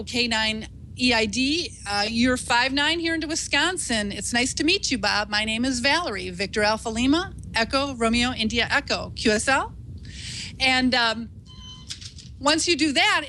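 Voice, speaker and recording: A woman's voice: a little high, bright, forceful tone, a little hiss or hum, poor audio. A woman giving an informal instructional talk in an encouraging, reassuring, conversational way, like a teacher walking beginners through the steps. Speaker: instructor, ham radio operator.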